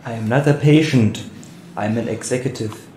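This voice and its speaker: A man voicing a creepy, injured executive asylum inmate, halting and repetitive in an amateur game mod. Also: mid-range voice, mellow, echoey room, slight background noise, lightly acted, low-quality recording. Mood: slow, ominous.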